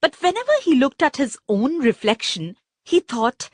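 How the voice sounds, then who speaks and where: A woman narrating a children's story from a script in an expressive, deliberate storybook manner. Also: high voice, no room sound.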